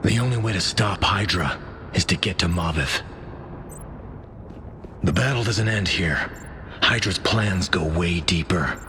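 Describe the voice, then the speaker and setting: Weary super soldier, deep and grim, game line over clearly noisy ambience. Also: male voice, measured pace, long pauses. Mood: grim, resolute. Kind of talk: video game character voice line.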